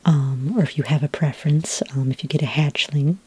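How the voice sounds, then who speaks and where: Woman acting as female dragonmaster, breathy whisper, mellow, patient, gentle, hesitant, ASMR fantasy roleplay, very dry close recording. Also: sits low, fairly even intonation, no echo. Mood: helpful, calm. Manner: gentle, hesitant, unhurried explaining. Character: patient, helpful female dragonmaster giving business advice. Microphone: close microphone in a dry space.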